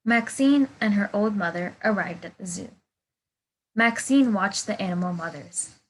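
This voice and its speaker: A woman's voice: mid-range voice, measured pace, breathy edge, rough recording. A woman volunteer reading a children's picture book aloud over a video call. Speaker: volunteer storybook reader. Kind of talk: children's storybook read-aloud.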